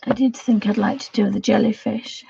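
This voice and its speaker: Croaky soft-spoken woman, dull lo-fi stream. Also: mid-range voice, fairly even intonation, normal speed. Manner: soft, rambling, often half-whispered. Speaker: livestream host. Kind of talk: casual chat while colouring.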